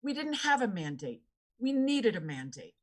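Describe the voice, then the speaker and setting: A woman's voice: a little high, sing-song, moderate pace. A woman answering as a candidate in a debate, making earnest, repetitive appeals to voters.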